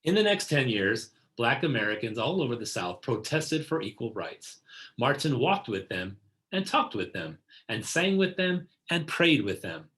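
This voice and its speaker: A man narrating a children's picture book in a deliberate storytelling delivery, stressing key words. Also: medium pitch, big pitch swings, a bit bright. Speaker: read-aloud narrator. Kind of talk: scripted picture-book read-aloud for children.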